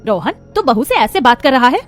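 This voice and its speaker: A woman plays a middle-aged mother-in-law in an animated family story. She is protective and admonishing, in a motherly, somewhat high voice. Soft music runs underneath. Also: moderate pace. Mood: stern, indignant.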